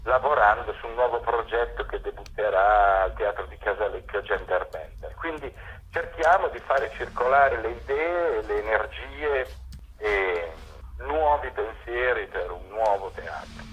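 A man's voice: fairly low pitch, lively intonation, a little slow, a bit bright. A man speaking as a radio guest, explaining a theatre programme in an interview. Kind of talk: spoken interview, presenting a programme. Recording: dull lo-fi phone call, faint noise.

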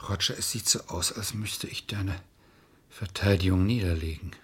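A man's voice: deep voice, normal speed, heavy background noise, natural voice. An actor playing a stern, sardonic male defence lawyer who interrogates with scorn in a vintage German crime radio play. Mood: bitter, reproachful.

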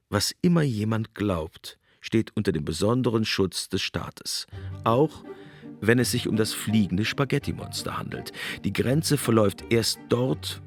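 Low-voiced male documentary narrator over music. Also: no room sound. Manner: explanatory, rhetorical. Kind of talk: scripted reflective documentary narration.

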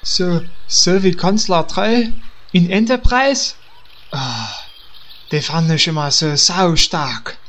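A man's voice: high voice, takes it slowly, a bit bright, slight background noise. A man playing a naive, childlike guild member in a comedy audio drama, simple-minded and eager. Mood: naive delight. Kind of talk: German audio drama parody.

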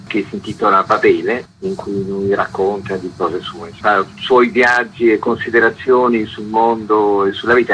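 A man's voice: lowish voice, bright, forceful tone, slight background noise, rough recording. A man giving discursive, spontaneous explanations as an arts organiser being interviewed.

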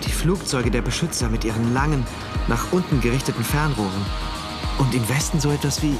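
A man's voice: mid-range voice, a little fast, lightly acted. An actor in a German radio drama narrating in the first person as an anxious, introspective, doubting man. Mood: alarmed awe. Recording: narration over loud music.

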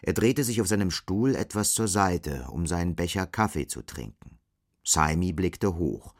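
A male narrator with a low voice reads literary prose for a radio drama. His delivery is measured and matter-of-fact. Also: animated.

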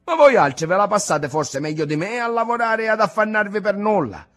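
A man voicing a bitter, rebellious young man in an audiobook, loud and scornful. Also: medium pitch, expressive, normal speed, soft background music. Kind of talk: audiobook character reading.

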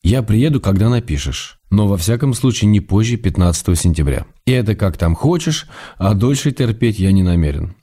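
An actor playing a fond, gently chiding middle-aged writer, reading intimate letters to his wife in measured tones for a radio play. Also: fairly low pitch, lively intonation, slightly rough. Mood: affectionate.

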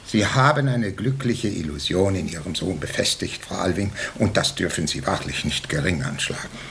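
An actor playing a rigid, pious pastor in a classic radio play, pompous, reproachful and emphatic. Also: male voice, low voice, normal speed, vocal fry, a bit bright. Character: indignant, moralizing male pastor, rigid and pious. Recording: noisy archival radio recording.

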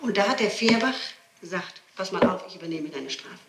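A woman's voice: on the low side, lively intonation, fairly quick, roomy sound, very noisy. A woman being questioned, answering spontaneously in an emphatic, pleading, insistent manner on an archival interrogation tape. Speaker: person being questioned by a prosecutor.

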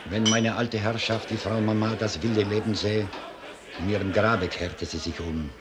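A man's voice: lowish voice, fairly even intonation, moderate pace, lightly acted. A man playing a grave, proud and mournful old Bohemian cellar master in a 1950s German radio drama. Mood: grumbling, disapproving. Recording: 1950s radio recording, music behind.

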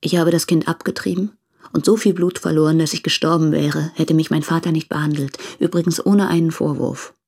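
A woman's voice: low-pitched, fairly even intonation, brisk, darkish tone, slightly rough. An actress playing a frank, reproachful woman challenging her hesitant lover in a literary radio drama, intense and unsparing. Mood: frank, accusing.

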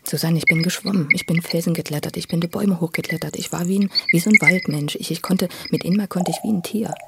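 A man, probably middle-aged, tells his own story in a husky voice, halting and repeating words with intensity. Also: on the high side, very noisy. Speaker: interviewee telling his life story. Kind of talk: spontaneous, emotional personal storytelling.

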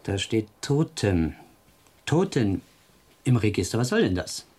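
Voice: medium pitch, very animated, a little slow, darkish tone, slightly rough. An actor in a radio play playing a fussy, condescending male museum boss with a patronising, impatient manner. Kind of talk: naturalistic radio drama dialogue.

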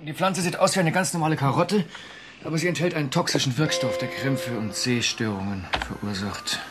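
An actor playing a conscientious, uneasy government official in a radio drama, speaking formally and hesitantly with a husky voice. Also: male voice, mid-range voice, fairly quick, heavy background noise, close to an everyday voice. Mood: evasive, curt. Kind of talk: German radio drama acting.

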